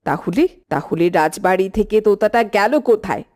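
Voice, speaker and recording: A woman's voice: medium pitch, moderate pace, darkish tone, close to an everyday voice. A woman voicing a stern, regal queen mother in a cartoon, speaking in a formal, authoritative manner. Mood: stern, questioning.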